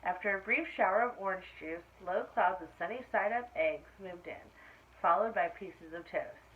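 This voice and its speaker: A woman reading a children's picture book aloud expressively, pausing to put questions to her listeners. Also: on the low side. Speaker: storytime reader. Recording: muffled lo-fi sound with faint noise.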